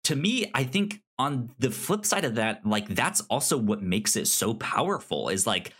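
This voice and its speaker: A man co-hosting a film podcast, reflecting casually in a halting, stammering way that repeats words. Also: mid-range voice, big pitch swings, a bit bright, husky. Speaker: podcast co-host.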